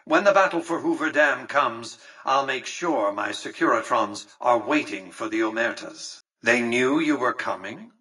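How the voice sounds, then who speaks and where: An actor playing an imperious, calculating tycoon in a video game, speaking formally with pompous condescension. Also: male voice, mid-range voice, animated, unhurried, hardly any pauses, pushed and bright, husky, distant mic, full character voice. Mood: businesslike. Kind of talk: scripted game character dialogue.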